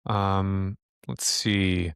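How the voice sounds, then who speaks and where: A man acting as game master, improvising details in casual, halting speech with some swearing. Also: low-pitched, steady tone, fairly bright tone, clear, resonant tone, no echo, studio quality. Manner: halting, profane. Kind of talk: improvised tabletop game narration and casual chat.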